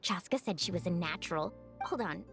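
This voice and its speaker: A woman's voice: lowish voice, expressive, brisk, creaky, a bit bright, faint music behind. A woman playing a friendly, eager young adventurer in a video game, upbeat, casual and curious.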